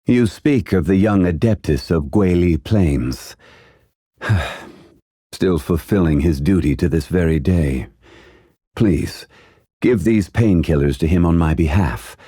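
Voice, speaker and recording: A man playing a composed, ancient, scholarly gentleman in a video game, speaking with measured, formal and deliberate diction. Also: deep voice, lively intonation, husky, no room sound, clean studio sound, full character voice. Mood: composed, courteous. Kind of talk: video game character voice lines.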